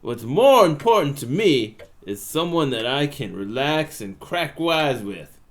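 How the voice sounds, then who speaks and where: A man's voice: on the high side, sing-song, unhurried. A man playing a 1920s gangster boss, genial but menacing, talking in slangy wiseguy patter in a noir radio drama. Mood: relaxed, expansive.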